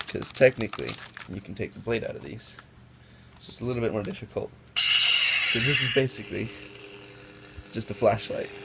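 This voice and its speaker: Sniffly young man with a gravelly low voice. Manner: chatty, rambling with self-corrections. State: sick, sniffly. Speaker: toy reviewer. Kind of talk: casual hands-on product comparison. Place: indoors at a table. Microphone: camera mic, off-screen above the table. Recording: noisy home recording.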